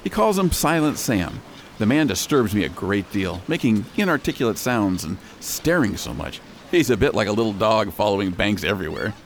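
An uneasy colonial gossip in a radio play, acted by a man. He has a low, creaky voice with lively swings, wryly sharing suspicions over faint background noise. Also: normal speed. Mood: uneasy, suspicious. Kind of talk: audio drama acting.

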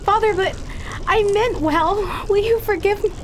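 A woman's voice: very high voice, measured pace, a little hiss or hum. A woman acting in a radio drama as an earnest, guilt-stricken, devoted daughter who speaks imploringly. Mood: pleading.

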